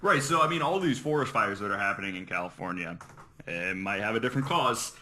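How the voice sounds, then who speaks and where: A man's voice: on the low side, expressive, fairly quick, bright, forceful tone. A man hosting a podcast, earnestly explaining researched material and sometimes stumbling over his words. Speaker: podcast host. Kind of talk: reading researched notes, explanatory podcast talk.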